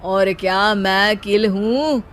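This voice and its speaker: A woman's voice: medium pitch, slow, background noise, lightly acted. A woman voicing an idle, flamboyant cartoon husband who declaims silly rhymes like bad poetry. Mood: smug, playful. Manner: declamatory, rhyming.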